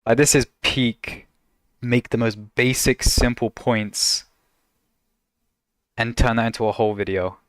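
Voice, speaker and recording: A man's voice: medium pitch, steady tone, measured pace, pauses often, clean tone, no echo, clean studio sound. A man casually reacting to videos on a stream, laughing a lot and teasing in a slangy, joking way.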